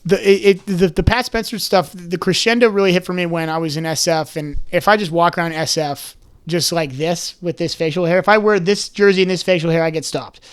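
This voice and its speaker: Lively high-voiced young man telling stories. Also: clear, resonant tone. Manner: animated storyteller who slips into quick quoted voices. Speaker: podcast guest, comedian. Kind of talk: casual chat.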